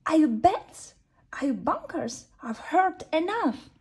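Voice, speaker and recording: Little witch girl, impatient, fiery, high and bouncy , woman puts her on, storybook read. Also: slow pace, a little hiss or hum, full character voice. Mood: angry. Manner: excited, huffy exclaiming. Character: little female witch, impatient and hot-tempered.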